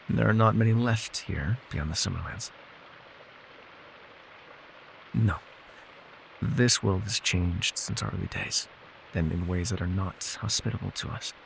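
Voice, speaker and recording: Guy doing an immortal elf healer for fantasy asmr, lowish creaky murmur, soothing n a bit wry, formal old-timey wording. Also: slight background noise, poor audio. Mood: solemn, wistful. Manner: measured, formal archaic phrasing, soothing. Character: gentle, weary immortal male elf healer, wryly teasing. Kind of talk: intimate fantasy ASMR roleplay.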